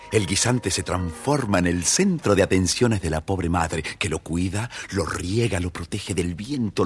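Male storybook narrator, lowish voice, expressive scripted reading, prominent music bed. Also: slightly rough.

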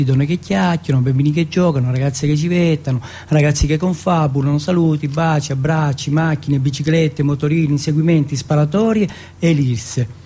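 Voce maschile un po' roca, monologo teatrale. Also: male voice, a little high, warm tone, breathy edge. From Turin, Italy. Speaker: monologue performer. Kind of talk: theatrical autobiographical monologue.

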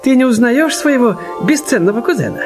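An actor playing a polite, shrewd Chinese detective with courteous, flowery manners in a radio play. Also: male voice, very high-pitched, animated, brisk, music in the background. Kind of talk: Russian radio play acting.

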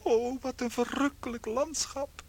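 A woman playing a tiny shrunken unfaithful husband in a radio play, theatrical, anxious and guilt-ridden, with a high husky voice. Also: fairly even intonation, a little slow, a little mellow. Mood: rapturous.

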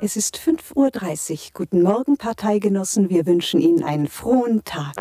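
A woman's voice: mid-range voice, animated, normal speed, no room sound, close to an everyday voice. An actress playing a party gymnastics instructor in her thirties in a radio drama, drilling with strict, false cheer. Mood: cheerful announcing. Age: 30s. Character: brisk 39-year-old female party gymnastics instructor, chirpily commanding. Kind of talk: radio drama acting, barked exercise drill. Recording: loud music behind the voice. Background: loud rhythmic exercise music.

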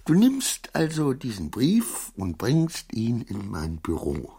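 An actor in a German radio play as a suspicious, agitated titular councillor, halting and repetitive, scolding and wheedling his servant. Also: medium pitch, wildly swooping pitch, vocal fry, mellow, slightly rough, heavily acted. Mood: insistent instructing.

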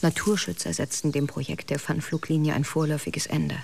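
An actress playing an observant investigator in a German radio drama, with measured, probing speech. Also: female voice, sits low, steady tone, creaky, darkish tone. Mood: sober, observing. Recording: noisy outdoor background with birds.

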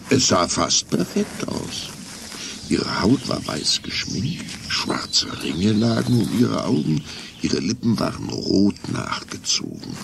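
A man's voice: fairly low pitch, creaky, a little hiss or hum. A man narrating a scripted German children's radio play as a storyteller, with dramatic, suspenseful delivery.

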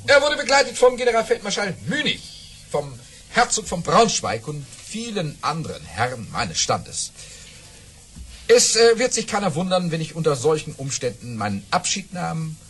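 A jovial, boastful nobleman spinning tall tales for a radio play. His highish, bright voice swoops dramatically and savours each phrase, with faint noise behind it. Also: big pitch swings, normal speed. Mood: savouring, boastful. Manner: theatrical, savouring storytelling with dramatic swings. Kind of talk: German radio play acting.

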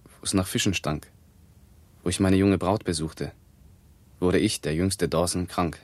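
A dying young man in a radio drama, low-voiced, halting and anguished, close dry recording. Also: fairly even intonation, darkish tone, no room sound. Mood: sombre, haunted. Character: feverish young plague victim telling of his own death. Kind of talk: 1960s German radio play monologue in verse.

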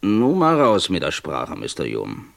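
An actor playing a persistent, stern British police inspector in a German radio crime drama, with formal, pointed questioning. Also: male voice, low voice, wildly swooping pitch, unhurried, creaky, a bit bright, exaggerated voice. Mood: pressing, confronting.

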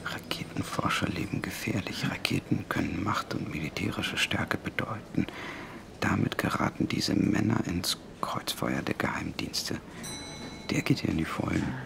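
Brief: a journalist, very deep gravelly voice, hushed reading. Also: male voice, moderate pace, in a whisper. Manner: low, muttered, reads articles aloud. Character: journalist Johannes Schwarz, dry and worldly. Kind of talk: radio drama acting.

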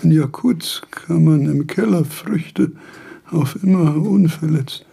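An actor playing a frail, dying philosopher of nearly eighty in a radio play, halting, repeating words and trailing off. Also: male voice, fairly high pitch, vocal fry, warm tone, husky, heavily acted. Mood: labored, musing. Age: older. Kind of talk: German radio play acting.

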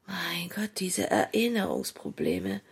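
A frank, giggly middle-aged mother in a naturalistic radio play. Her husky voice swings freely up and down with a bit of fry. She talks confessionally and makes fun of herself. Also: lowish voice, wildly swooping pitch, slow pace, heavily acted. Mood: self-mocking. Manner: confessional, self-mocking.

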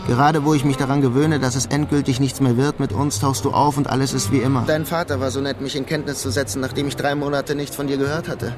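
An actor plays a lovelorn young man stuck in a menial job, sardonic and bruised. His brooding delivery suits a radio crime drama. Music plays clearly beneath his voice. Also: medium pitch, level tone, quick pace, hardly any pauses, lightly acted. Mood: sarcastic, wounded. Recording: radio play with loud music underneath.

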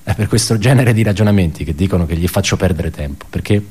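An actor playing an embittered, pedantic male office worker in a radio theatre monologue, halting and repeating his words as he puts rhetorical questions. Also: low voice, warm tone, a little hiss or hum, close to an everyday voice. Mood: pleading, agitated.

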